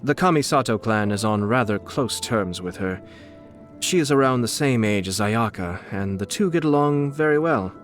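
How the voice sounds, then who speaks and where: A man voicing a refined, suave young nobleman and clan head in a video game, coolly shrewd, with polished, measured, formal diction. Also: fairly low pitch, animated, natural voice. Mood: courteous, wry. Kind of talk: scripted video game character dialogue. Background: background music.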